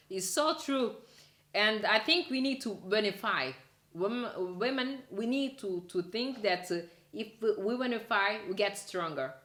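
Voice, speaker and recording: Woman hosting an interview, halting, restarts a lot, roomy echo. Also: mid-range voice, a bit bright. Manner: halting, restarts, non-native phrasing. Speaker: interview host. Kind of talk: interview questions.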